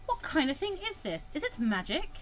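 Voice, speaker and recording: A woman's voice: sits high, wildly swooping pitch, moderate pace, fairly bright tone, soft background music, voice pushed up, heavily acted. A woman voicing a flustered, inquisitive young student in a visual novel, with hesitant, incredulous questions.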